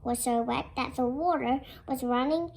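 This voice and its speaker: A child reading a fairy tale aloud in a careful, expressive storytelling manner, as a storybook narrator. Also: sits high, moderate pace, creaky. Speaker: storybook reader.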